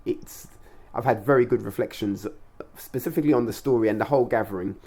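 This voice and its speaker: Low-pitched man in earnest conversation. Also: quick pace, background noise. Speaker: radio show host and class organiser. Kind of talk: casual interview conversation.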